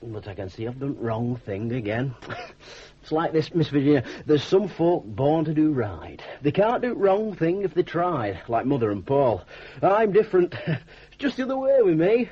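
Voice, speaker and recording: A man's voice: on the high side, big pitch swings, normal speed, slightly rough. An actor playing a cheerful, bumbling, self-deprecating idle young man in a radio drama, rambling artlessly in a Northern English accent. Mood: cheerfully self-deprecating. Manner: rambling, artless, chuckling, homely dialect. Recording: dull 1980s radio drama recording.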